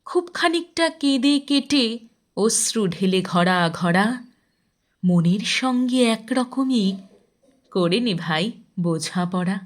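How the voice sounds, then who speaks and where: A woman reciting a poem expressively, with measured, deliberate phrasing and drawn-out line endings. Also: medium pitch. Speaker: poetry reciter.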